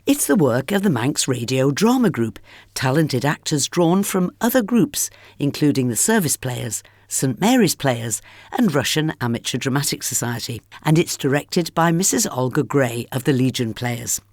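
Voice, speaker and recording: A woman's voice: a bass voice, expressive, normal speed, darkish tone, no room sound. A woman presenting a radio programme from a script, recorded in a studio. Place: dry studio.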